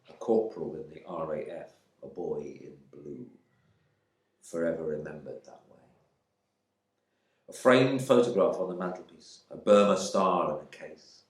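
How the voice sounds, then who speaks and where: A man performing a dramatic monologue as a proud, resentful airman in prison, in clipped, brooding phrases. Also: sits low. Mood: bitter, brooding. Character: embittered English RAF airman imprisoned in India, proud and resentful. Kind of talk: dramatic monologue reading from a novel. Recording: echoey room.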